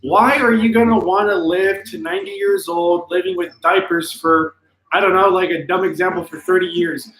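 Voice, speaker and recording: A man's voice: high-pitched, animated, normal speed, gravelly, a bit bright, a little hiss or hum. A man live-streaming in casual chat, reading viewer comments aloud and giving encouraging, motivational replies with plenty of ums. His voice is wearing out from long talking, and he is indoors at a friend's home. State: voice getting tired from long talking. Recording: echoey room.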